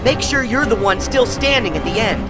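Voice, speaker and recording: A man's voice: very high-pitched, expressive, normal speed, loud music behind the voice. An actor playing an earnest, plucky teenage boy hero in a video game, forthright and spirited. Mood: upbeat. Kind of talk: animated video game voice acting.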